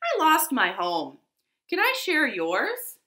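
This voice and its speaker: A woman's voice: sits high, huge pitch swings, slow, bright, forceful tone, pitched above the performer's own voice, exaggerated voice. A woman voicing a small, polite, hopeful bat in search of a home in a children's picture book. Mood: hopeful pleading. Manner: pleading, simple and polite. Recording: roomy living-room echo.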